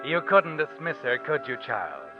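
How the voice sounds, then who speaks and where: An actor playing a knowing, insinuating narrator in a 1940s radio mystery, slyly taunting in dramatic phrases. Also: male voice, medium pitch, very animated, moderate pace, over loud music, close to an everyday voice. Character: knowing, insinuating narrator addressing the guilty man. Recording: muffled old radio recording.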